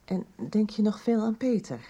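An actress in a radio soap playing a calm, practical confidante. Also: female voice, mid-range voice, moderate pace, husky, dull audio. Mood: calm, probing.

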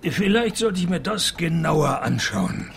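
A man playing a dry-witted, unflappable private detective in a radio play, deadpan and sardonic. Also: on the high side, measured pace, creaky, slight background noise, exaggerated voice. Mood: dry, sceptical. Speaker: narrator and lead character. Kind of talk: radio play acting with first-person narration.

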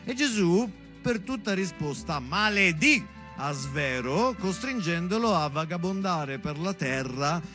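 A man's voice: high voice, expressive, measured pace. Man performing a theatre monologue over music. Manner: storytelling, conversational asides, dramatic emphasis. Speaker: stage actor and monologuist. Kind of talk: theatre monologue addressed to his daughter.

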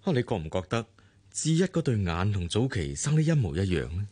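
Weary rich boss, Hong Kong Cantonese accent, very deep, husky, lively pitch, radio drama. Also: male voice, a little fast. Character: wealthy middle-aged male boss, calm and weary.

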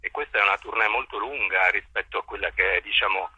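A man giving reflective interview answers as a theatre director, articulate and formal, choosing words deliberately. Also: lowish voice, bright, forceful tone, slightly rough, dry recording, highs cut off.

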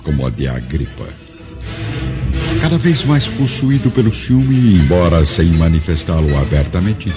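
A man with a Brazilian accent giving solemn, literary, dramatic narration. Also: sits low. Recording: dull lo-fi audio over faint music.

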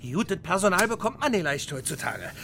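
A man playing an obsequious, slippery henchman who flatters his boss in a radio drama. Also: sits high, brisk, slight background noise, full character voice. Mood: servile. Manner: fawning, smooth-talking. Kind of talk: German radio drama acting.

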